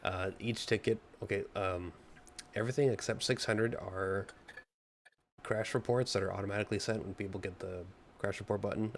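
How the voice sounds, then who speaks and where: A man's voice: on the low side, creaky, slight background noise. A man who is a game developer, explaining offhandedly and with hesitations in a casual live Q&A.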